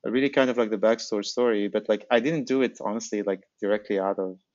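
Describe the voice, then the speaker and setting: A middle-aged man from Algeria with a fairly low voice, answering as an interview guest. His speech is informal and conversational. The recording is dry and a little dull and lo-fi. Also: fairly even intonation, fast, a little mellow, dry recording. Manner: informal, frequent filler "like". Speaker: podcast guest, startup founder.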